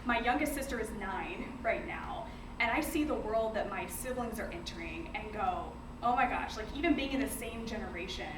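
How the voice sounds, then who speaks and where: A woman in her twenties giving an informal, chatty presentation to a group as a workshop facilitator. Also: a little high. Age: 20s. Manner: chatty, informal, often laughing. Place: indoor room with a group, recorded off the mic. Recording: echoey room, distant mic, clear background noise.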